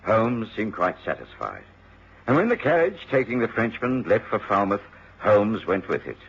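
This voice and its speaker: An actor playing a genial gentleman companion who narrates a radio drama in a measured, expressive way. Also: on the low side, creaky, slightly rough, full character voice. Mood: reflective, narrating. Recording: muffled radio recording, faint noise.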